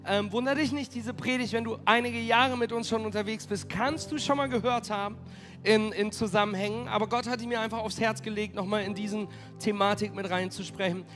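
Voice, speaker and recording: A man preaching as pastor, energetic and rallying his congregation, with a cold that makes him hoarse. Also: high voice, a little fast, over music. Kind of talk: sermon. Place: church hall.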